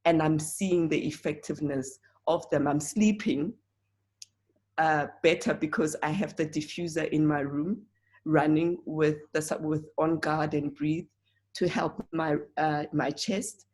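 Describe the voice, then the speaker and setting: Slow, low-voiced hoarse woman. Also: steady tone, darkish tone. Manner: earnest, halting, with frequent fillers and restarts. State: hoarse, croaky voice. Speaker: presenter of an online oils class. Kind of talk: casual spoken class talk.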